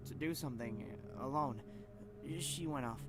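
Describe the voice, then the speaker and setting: A man playing a timid, rattled man in a game, speaking haltingly and nervously. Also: mid-range voice, lightly acted. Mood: nervous, evasive. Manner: halting, nervous, repeating himself. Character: timid, rattled man hiding his guilt. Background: loud game music.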